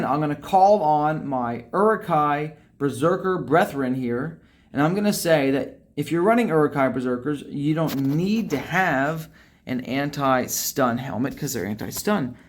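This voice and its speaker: Animated young man explaining game strategy. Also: fairly high pitch. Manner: animated, emphatic, ends points with "okay?". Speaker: game guide creator. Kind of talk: gameplay strategy commentary. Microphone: webcam facecam over screen capture.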